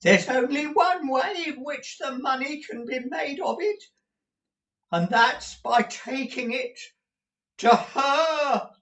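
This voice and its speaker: Shrieking old miser, shrill and frantic. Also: male voice, takes it slowly, fairly bright tone, heavily acted. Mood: frantic despair. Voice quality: shrill, wailing. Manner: panicked, repetitive lamenting. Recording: roomy echo, distant mic.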